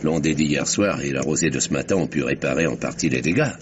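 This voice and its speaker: An actor playing a grave, principled elderly retired banker in an old French radio mystery, speaking in a measured, sententious way. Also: male voice, rumbling low. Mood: matter-of-fact. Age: older. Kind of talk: mid-century French radio mystery acting. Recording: noisy, muffled old radio drama recording.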